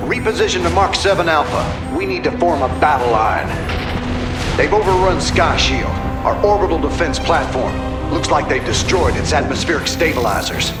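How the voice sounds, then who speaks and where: An actor plays a rugged human commander, blunt and brisk. His voice comes across roomy and distant. A loud score plays behind. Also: a little high, lively intonation, natural voice. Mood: urgent. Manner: brisk, plain-spoken orders. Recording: echoey, distant comm voice over music.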